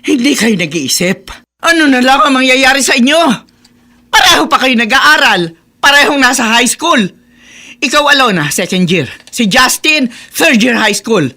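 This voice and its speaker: A woman's voice: high voice, expressive, normal speed, bright, forceful tone, exaggerated voice. An actress playing a hot-tempered, middle-aged mother, berating sharply in a radio drama. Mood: scolding.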